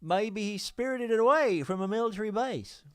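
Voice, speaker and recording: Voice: mid-range voice, expressive, moderate pace, a bit bright, no echo, studio quality. A podcast host reading listener mail aloud in a jokey, sweary way, often stumbling over the text.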